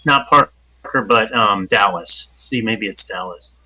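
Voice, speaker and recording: Man, tabletop player, sharp bright voice, casual chat. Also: lowish voice, measured pace, slightly rough, rough recording.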